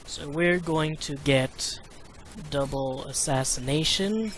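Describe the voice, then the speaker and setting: A mid-range voice gives casual video game commentary, explaining things as a player would in a walkthrough. Game music plays clearly behind the speech. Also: medium pitch, takes it slowly. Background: video game music and sounds.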